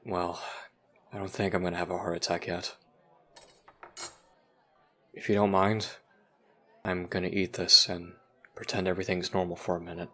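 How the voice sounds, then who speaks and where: A man playing a withdrawn, dry-humoured teenager in an audio roleplay, deadpan and hesitant. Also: low voice, moderate pace, long pauses, some chatter behind, a little hiss or hum. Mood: wry, wistful.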